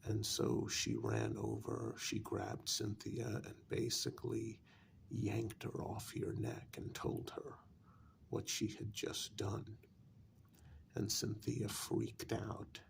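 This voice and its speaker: A man playing a calm, frank vampire in a soft-spoken, halting ASMR role-play, often dropping close to a whisper. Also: fairly low pitch, vocal fry, a little hiss or hum, full character voice. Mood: confiding. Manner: slow, deliberate, halting with long pauses.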